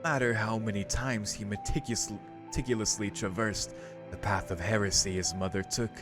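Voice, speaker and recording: A man with a low voice hosts a visual novel let's play. He switches between casual commentary and reading the script aloud, with game music clearly playing behind him. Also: steady tone, a little fast.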